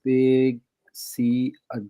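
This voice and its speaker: Casual talk: accented man, very dark timbre, dry close sound, questioning and animated. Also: medium pitch, extremely slow, smooth, no echo. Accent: non-native English. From abroad, lives in Canada. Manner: animated, questioning, many fillers. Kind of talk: casual discussion.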